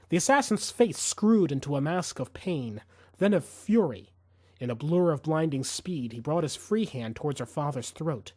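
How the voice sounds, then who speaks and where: A man's voice: medium pitch, darkish tone, dry recording. A man narrating fiction as a dramatic audiobook reader, intense and suspenseful.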